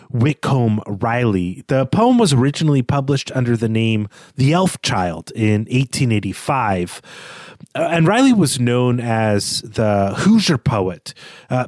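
A man's voice: mid-range voice, darkish tone, no room sound. Man explaining literature, dry close sound. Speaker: podcast co-host. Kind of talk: conversational literary analysis.

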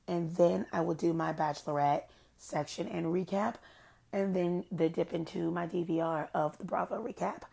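Young woman, podcast host, hoarse, rambling gossip chat, lowish gravelly voice. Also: darkish tone. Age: young adult. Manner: chatty, rambling, self-described low-key shady. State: hoarse, has lost her voice. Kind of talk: casual solo podcast chat.

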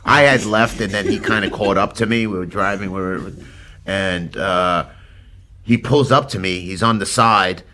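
A man's voice: sits low, moderate pace, noisy background. A man hosting a podcast who asks questions and tells rambling stories in a casual way. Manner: loose, rambling storytelling. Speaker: podcast host. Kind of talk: casual chat.

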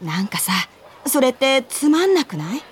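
A woman playing a perky, forthright young senior colleague, expressive and casual, in a radio drama. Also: high voice, moderate pace, slight background noise. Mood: challenging.

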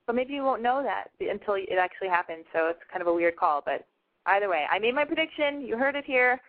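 A woman's voice: medium pitch, expressive. A woman in her twenties from Los Angeles, hosting a call-in advice show, frank and gushing. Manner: frank, gushing, talks fast. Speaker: call-in radio advice host. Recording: tinny phone-line sound.

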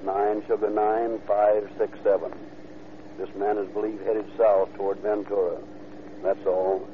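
A noisy, phone-like vintage radio signal carries a man as a male police dispatcher. His voice is fairly low. He reads bulletins in a brisk, procedural, official manner. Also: lightly acted. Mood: official, urgent. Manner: flat, procedural.

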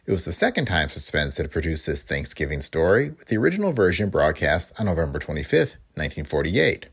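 A man's voice: rumbling low, big pitch swings, fairly quick, a bit bright, slightly rough. A man hosting a podcast with scripted, introductory narration. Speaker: podcast host.

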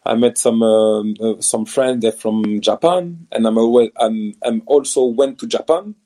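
A man's voice: medium pitch, a little slow, mellow. A man from France with a French accent, a former professional footballer answering interview questions in a hesitant way, with many restarts and fillers.

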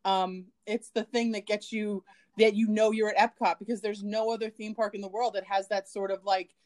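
A woman co-hosting a podcast in relaxed conversation, chatty and giggly, with plenty of ums and likes. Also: medium pitch, moderate pace. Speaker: podcast co-host. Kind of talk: casual chat.

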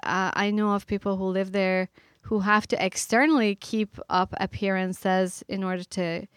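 A middle-aged woman from Iran, a guest speaking earnestly and thoughtfully with pauses and fillers. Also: mid-range voice, fairly even intonation, smooth, dry recording, clean studio sound. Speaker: author and guest. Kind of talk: reflective interview talk.

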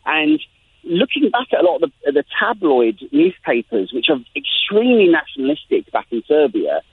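An Englishman with lively pitch over a tinny phone line. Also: moderate pace, husky, dry recording. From England. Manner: animated, frequent restarts and repetitions. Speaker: guest journalist.

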